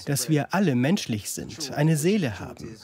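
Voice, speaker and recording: A man's voice: fairly high pitch, big pitch swings, moderate pace, crowd noise. A man, a band musician, giving a statement in an interview.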